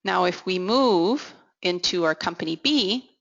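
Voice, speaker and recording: A woman giving an instructional software walkthrough as a consultant, in a measured, explanatory way. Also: fairly low pitch, animated. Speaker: technical consultant presenting a software demo. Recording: dull, lo-fi audio. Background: voice-over for a screen recording.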